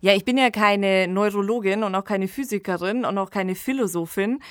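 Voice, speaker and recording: A woman's voice: medium pitch, moderate pace, clear, resonant tone, dry recording, studio quality. A woman hosting a podcast discussion in a thoughtful, colloquial conversational way. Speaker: podcast host.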